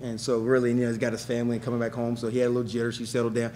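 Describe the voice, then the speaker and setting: A middle-aged man fielding questions as a head coach, rattling through answers at a quick clip. He repeats himself and restarts often, pressing his points with emphasis. A faint hum sits under his table mic. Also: mid-range voice, monotone, darkish tone. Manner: rapid, repetitive restarts, emphatic. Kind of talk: post-game press conference answers. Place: press room with backdrop.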